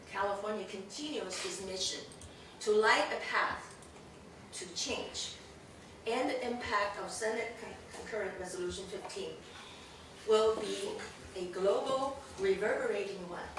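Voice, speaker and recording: A middle-aged woman, slightly hoarse, giving an earnest, emphatic speech without a microphone as an event host. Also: mid-range voice, a little slow. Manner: earnest, emphatic, animated gestures. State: hoarse, losing her voice. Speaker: community leader, event host. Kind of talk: formal public speech. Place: large room with a backdrop, indoors. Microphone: no microphone, recorded by a distant camera. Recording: echoey room from a distance, noisy.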